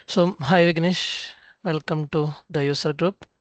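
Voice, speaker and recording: A host opens an online event over a video call, introducing the speaker in a brief, friendly way. The voice sits mid-pitch and sounds dry and close, with no room around it. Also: steady tone, unhurried, no room sound. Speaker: user group host.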